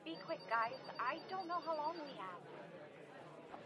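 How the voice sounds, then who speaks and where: A woman's voice: sits high, very animated, slow pace, crowd noise, noisy background, full character voice. A woman playing an anxious, scatterbrained young woman in an audio drama, hesitant and stammering. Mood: uneasy. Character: anxious, flustered, scatterbrained young female policyholder.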